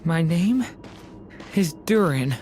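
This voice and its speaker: A woman voicing a fragile, lost young boy in a game, speaking haltingly and plaintively. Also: lowish voice, expressive, mellow, slight background noise. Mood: weak, confused. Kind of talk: dramatic game voice acting.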